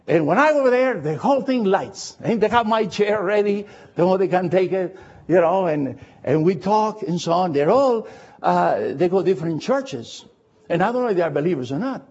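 Older male pastor, foreign accent, husky and highly expressive, preaching with emphatic repetition; slightly dull, lo-fi recording. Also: moderate pace. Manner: emphatic repetition of short phrases. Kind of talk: expository sermon with personal anecdotes.

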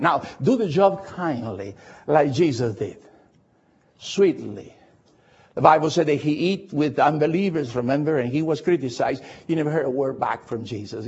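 Older male pastor, foreign accent, husky and highly expressive, preaching with emphatic repetition; slightly dull, lo-fi recording. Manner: emphatic repetition of short phrases. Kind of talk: expository sermon with personal anecdotes.

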